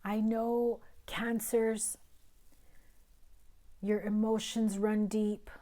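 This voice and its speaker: A woman doing a tarot reading for her viewers, her voice raspy and hoarse while she recovers, speaking earnestly and sometimes dropping to a whisper. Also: mid-range voice, little pitch movement, extremely slow, warm tone. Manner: earnest and emphatic, drops to whispers, occasional cussing. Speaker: tarot reader.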